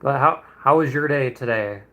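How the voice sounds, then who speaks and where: A man's voice: medium pitch, moderate pace, bright, forceful tone. A man in his twenties, a streamer chatting casually and rambling with viewers during a painting livestream, chuckling often. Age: 20s.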